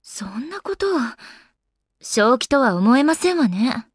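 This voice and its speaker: Casting: refined, playful young goddess in an anime game, high voice, genteel diction, very dry close sound. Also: female voice, big pitch swings, a little slow, slightly rough, no room sound, full character voice. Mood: serious. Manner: polite, ladylike, genteel phrasing. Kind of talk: Japanese anime game voice acting.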